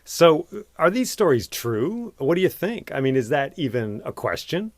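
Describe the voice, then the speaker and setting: Man, podcast host, curious questions, very expressive pitch, dry. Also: moderate pace, no echo. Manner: curious, asks short follow-up questions. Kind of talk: interview questions.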